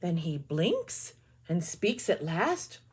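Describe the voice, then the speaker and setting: A woman teacher reads a children's picture book aloud, her pitch rising and falling with animation. She drops in chatty little comments as she turns the pages. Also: sing-song, slow pace, slightly rough. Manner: chatty asides between pages.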